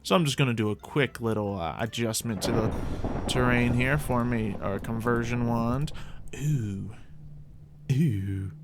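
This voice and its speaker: A man's voice: medium pitch, a little slow, very noisy. A man giving casual, rambling gameplay commentary as a video host, thinking aloud in a playful way. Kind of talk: casual rambling Minecraft let's play commentary.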